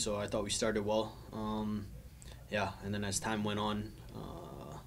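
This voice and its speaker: A young man in his twenties, a soccer player answering press questions after a match in a measured, thoughtful way with frequent ums. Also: lowish voice. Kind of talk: post-match press interview. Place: small indoor press room, backdrop wall.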